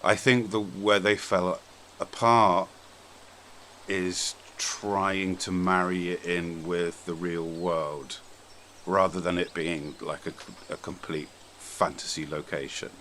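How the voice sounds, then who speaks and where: A British man with a very deep voice chats informally as the host of a podcast. His manner is blunt and relaxed in wording, and there is some noise behind him. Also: slow pace. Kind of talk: casual podcast chat about films. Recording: noticeable background noise.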